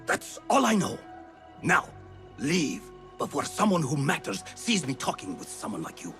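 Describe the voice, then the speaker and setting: A man's voice: on the high side, wildly swooping pitch, moderate pace, a bit bright, soft background music. An actor playing a wary, dismissive male informer in a video game, curt and disdainful. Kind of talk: video game cutscene acting.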